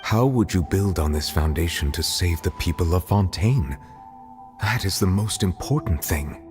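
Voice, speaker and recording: A man's voice: low-pitched, animated. A man voicing a dignified male dragon sovereign who serves as a judge in a video game, grave, formal and reserved. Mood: grave, probing. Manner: formal, reserved, deliberate questioning. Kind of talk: dramatic video game voice acting. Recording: music behind the voice. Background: music.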